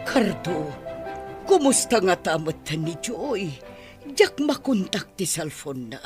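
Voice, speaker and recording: A woman playing an exasperated, worried middle-aged mother in an Ilocano audio drama, drawn-out and sighing as she moves between scolding and pleading. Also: on the low side, big pitch swings, music in the background. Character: exasperated, worried mother of a wayward grown daughter.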